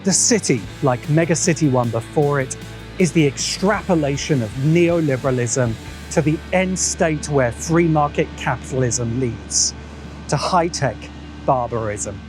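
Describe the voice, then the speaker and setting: A man narrating a scripted video essay in an emphatic, rhetorical way, with dramatic pauses. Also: on the high side. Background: background music.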